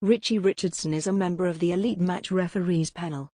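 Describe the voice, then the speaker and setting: A woman's voice: on the low side, steady tone, brisk, mellow, no room sound, clean studio sound. A woman reading a prepared factual text aloud as a sample answer. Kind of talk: scripted read-aloud narration.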